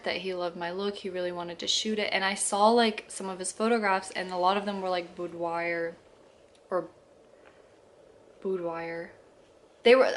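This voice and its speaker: A woman's voice: medium pitch, fairly bright tone. A woman in her twenties chatting casually to camera, filling her story with fillers, restarts and small laughs. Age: 20s. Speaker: vlogger.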